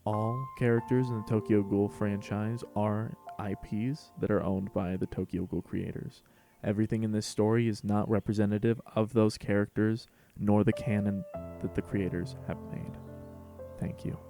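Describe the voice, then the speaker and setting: Low, gravelly male story narrator. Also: fairly even intonation, normal speed, music in the background. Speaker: host and narrator of a fan fiction podcast.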